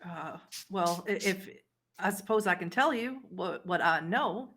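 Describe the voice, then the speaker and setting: A woman's voice: fairly low pitch, lively intonation, measured pace, fairly bright tone, slight background noise. A woman voicing a timid, dim, nervous man who works for a vampire, hesitant and stammering in a tabletop game. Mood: nervous, evasive. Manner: hesitant, stammering, plain-spoken. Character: timid, dim, nervous male human errand-runner for a vampire.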